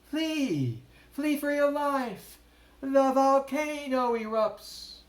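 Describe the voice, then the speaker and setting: A man's voice: very high-pitched, lively intonation, very slow, echoey room, voice pushed up, exaggerated voice. A man voicing a clever young boy who cries out warnings in a storybook reading. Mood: alarmed.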